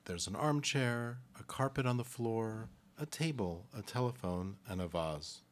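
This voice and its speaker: A man presenting and narrating a live radio play in a halting, deliberate way. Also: medium pitch, animated. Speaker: presenter and stage-directions reader.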